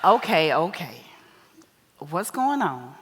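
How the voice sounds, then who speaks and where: An actress playing an older American mother on stage, folksy, wise, teasing and blunt, with drawn-out emphatic phrasing. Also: sits low, very animated, fairly bright tone, husky, heavily acted. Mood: chiding, playful. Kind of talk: stage play acting. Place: live stage in a reverberant hall. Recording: echoey theater hall.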